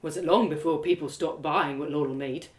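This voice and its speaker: A woman's voice: low-pitched, normal speed, lightly acted. A woman playing a bitter, wounded young man in a dramatic audio story, brooding and anguished. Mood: grim, remorseful. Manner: brooding, anguished, matter-of-fact. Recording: roomy, echoey live reading.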